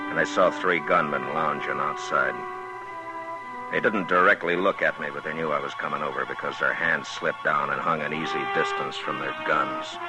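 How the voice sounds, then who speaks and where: An actor playing a calm, laconic frontier marshal who narrates and speaks in a measured, firm way, in a 1950s radio western. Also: male voice, rumbling low, low-quality recording.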